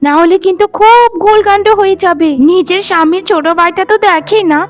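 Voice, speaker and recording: A woman's voice: very high-pitched, brisk. A woman voicing a spiteful, bossy village woman in a cartoon, taunting, scolding and threatening. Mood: scornful, threatening. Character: spiteful, bossy younger village woman guarding her pond. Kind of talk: cartoon voice acting.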